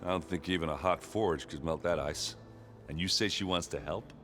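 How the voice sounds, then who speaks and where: An actor playing a steady, loyal companion in a video game, speaking plainly with dry, understated humour. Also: male voice, deep voice, normal speed, slight background noise. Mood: dry, skeptical. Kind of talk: video game dialogue acting. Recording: faint music behind the voice.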